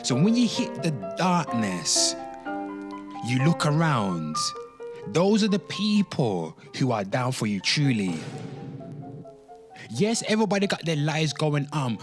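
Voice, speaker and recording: A man's voice: fairly high pitch, very animated, slow pace, pauses often. A man speaking casually and emphatically in an off-the-cuff rant, with slang, swearing and repeated phrases, talking straight to his listeners. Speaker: host of a pop culture commentary show. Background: music.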